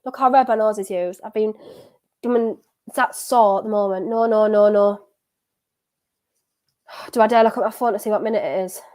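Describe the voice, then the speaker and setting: A woman with a softened County Durham accent, streaming a live football watchalong, talking in an anxious, excitable, rambling way and repeating herself. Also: medium pitch, normal speed, long pauses. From North East England. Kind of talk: live match reaction chat.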